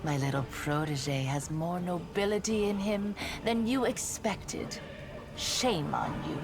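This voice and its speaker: An actress playing a haughty, seductive demon lord in a video game, scheming and mocking, with a theatrical drawl. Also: female voice, lowish voice, slow, background noise. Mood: mocking, amused.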